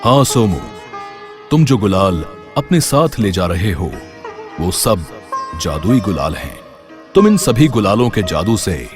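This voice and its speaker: A man performs a magical tree from a fairy tale. The voice is deep and solemn, with measured, instructive delivery. Music sounds clearly behind it. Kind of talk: Hindi fairy tale voice acting.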